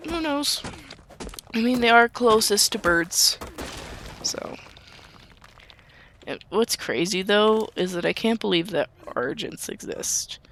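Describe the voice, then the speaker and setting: A young woman hosting a gaming video, chatting casually in a calm, soothing voice as she rambles. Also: mid-range voice, slight background noise. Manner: calm, soothing, rambling talk with light laughs. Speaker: gaming channel host. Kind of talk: casual gameplay commentary. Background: background music.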